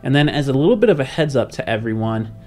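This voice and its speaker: A young man hosting a podcast in casual, halting talk that drifts into asides. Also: mid-range voice, very animated, mellow. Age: young adult. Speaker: podcast host and game master.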